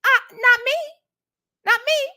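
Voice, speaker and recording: A woman's voice: very high-pitched, takes it slowly. A middle-aged American woman from Ohio telling stories casually as a livestream host, emphatic and sassy, often checking in with the listener. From Ohio, United States. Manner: animated, emphatic, sassy, conversational with tag questions. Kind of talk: casual storytime chat.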